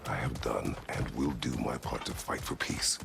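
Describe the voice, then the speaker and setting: An actor playing a stoic, battle-worn older warrior, terse and grave, with a gravelly voice. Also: male voice, a bass voice, moderate pace, loud music behind the voice, full character voice. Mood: solemn.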